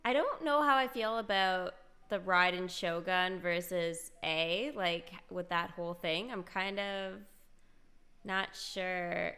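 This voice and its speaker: Casting: female game streamer, mid-pitched, crisp bright tone with gravelly edges, relaxed off-the-cuff commentary. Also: slow. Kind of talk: casual gameplay commentary. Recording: faint game music behind.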